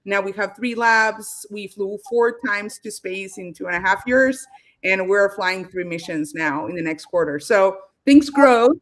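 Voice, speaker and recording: Argentine woman guest on a podcast, lively storyteller, mid voice, laughs a lot. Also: mid-range voice, measured pace. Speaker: guest, space company founder. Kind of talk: casual interview chat.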